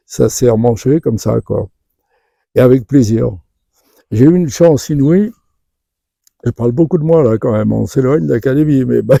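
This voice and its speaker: A man's voice: medium pitch, gravelly, warm tone, no room sound, clean studio sound. An older man from western France telling anecdotes in a spontaneous interview, chatty, digressive and good-humoured. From Vendée region, western France. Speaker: interviewee, retired dental professor.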